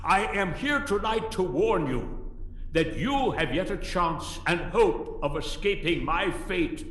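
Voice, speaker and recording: Spooky solemn ghost, radio play, super slow, big echoey reverb. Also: male voice, high voice, lively intonation, fairly bright tone, exaggerated voice. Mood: solemn warning. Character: solemn ghost of a dead business partner, grave, warning. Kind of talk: theatrical radio play acting.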